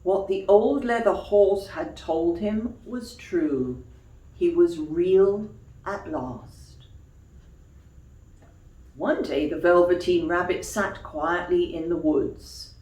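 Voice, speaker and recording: Woman reading a kids story, slow n very animated, bit far from mic, echoey. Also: on the low side. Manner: explains words to young listeners in asides. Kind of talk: expressive children's story reading. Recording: echoey room, distant mic.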